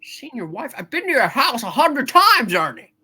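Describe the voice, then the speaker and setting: A woman voicing a frantic, bewildered, exasperated family man, husky, in a hammed-up amateur play reading. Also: high voice, big pitch swings, normal speed, bright, forceful tone, exaggerated voice. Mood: pleading.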